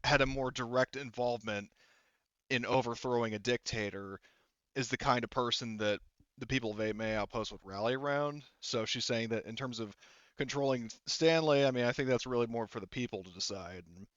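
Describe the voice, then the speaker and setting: Man, game master, smooth clear voice, improvised narration, halting and rambling, dry slightly dull microphone. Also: medium pitch, a bit bright, dry recording. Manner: halting, filler-heavy, rambling explanations.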